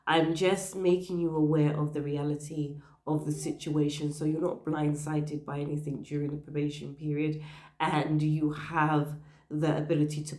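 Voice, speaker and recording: A woman giving career advice to the camera, her voice croaky while she recovers from the flu, talking earnestly and stressing her points. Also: low-pitched, a little mellow, echoey room. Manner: earnest, emphatic, stressing points with "okay?" and "you know". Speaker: career advice channel host. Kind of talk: direct-to-camera advice talk.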